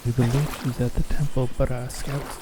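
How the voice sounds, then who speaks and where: A man's voice: mid-range voice, level tone, normal speed, noisy background, natural voice. Anxious, kindly man as a river villager. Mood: concerned.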